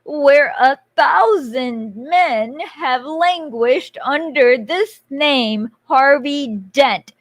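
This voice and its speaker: A menacing masked male revolutionary villain, put on by a woman who proclaims every word slowly and grandly. The delivery is drawn out and theatrical, in a fairly high voice for such a figure. The sound is dry and close. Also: fairly bright tone, no echo, heavily acted. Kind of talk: movie speech impression.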